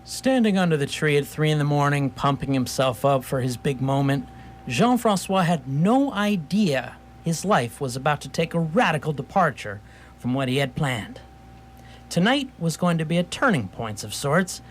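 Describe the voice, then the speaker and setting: A man playing a wry storyteller, narrating a scripted radio theatre story in a measured way. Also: fairly high pitch, big pitch swings, a little hiss or hum. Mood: wry narration.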